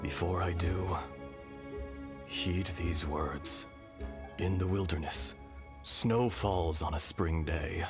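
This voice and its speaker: An actor playing the benevolent remnant spirit of an ancient dragon in a video game, speaking in a measured, solemn, formal way. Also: male voice, low voice. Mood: wistful, gentle. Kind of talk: dramatic game voice acting. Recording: voice under loud music.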